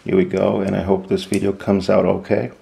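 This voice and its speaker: A man giving an unscripted, hands-on equipment walkthrough in a halting, explanatory way. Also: low voice, little pitch movement, creaky, warm tone. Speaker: product demonstrator.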